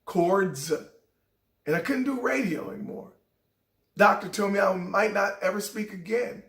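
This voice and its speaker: A middle-aged man hosting a show, telling a heartfelt personal story in a raspy voice that sometimes cracks. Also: sits high, measured pace, a bit bright, echoey room. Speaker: show host. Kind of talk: inspirational personal talk.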